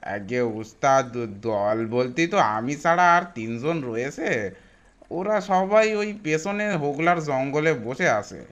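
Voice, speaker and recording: Man acting as loyal bandit underling, cartoon, mid-pitched, hesitant, colloquial. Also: expressive, slow, a bit bright, dull audio. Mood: worried, deferential. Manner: hesitant, rustic colloquial speech. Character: anxious, deferential rustic bandit henchman, loyal to boss. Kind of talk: animated horror story dialogue. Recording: music bed behind voice.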